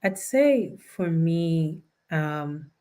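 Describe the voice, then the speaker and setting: A woman's voice: deep voice, expressive, drawn-out words, dark tone. A woman with a non-native English accent, reflecting personally as a panelist. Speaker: panelist, attorney.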